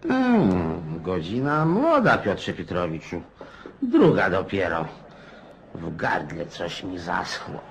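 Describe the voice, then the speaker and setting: An actor playing a garrulous, cynical old Cossack in a radio play, rough-edged and folksy, prodding his listener with questions. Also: low voice, huge pitch swings, slow pace, a little hiss or hum, exaggerated voice. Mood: folksy grumbling.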